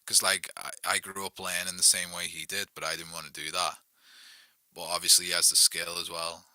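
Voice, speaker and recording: A man's voice: low-pitched, little pitch movement, a little fast, sharp tone, no echo. A young man with a Liverpool accent, a songwriter guest talking loosely and casually, full of "like" and "you know what I mean". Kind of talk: casual chat.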